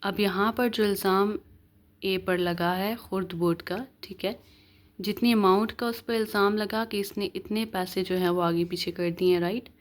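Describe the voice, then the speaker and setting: A woman's voice: medium pitch, steady tone, normal speed. A woman with a Pakistani accent giving an explanatory lecture as a law teacher, in a didactic way with short check-in tags. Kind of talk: explanatory lecture, reading statutes aloud and explaining them.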